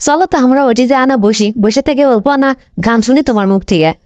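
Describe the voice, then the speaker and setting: A woman's voice: on the high side, fast talker, a little mellow, no echo, lo-fi sound, lightly acted. A woman voicing a pushy, lovestruck young village girlfriend in a comedy cartoon, insistent and coaxing, with a North Bengal accent. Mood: reassuring, coaxing. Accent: North Bengal Bangladeshi.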